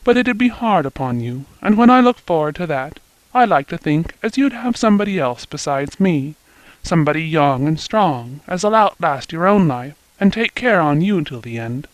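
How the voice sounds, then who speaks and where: A man reading aloud plays an old country weaver, slow and fond, with homely rustic phrasing. The voice sits a touch lower than the narration. The recording is dry and close. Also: big pitch swings, dry recording, poor audio. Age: older.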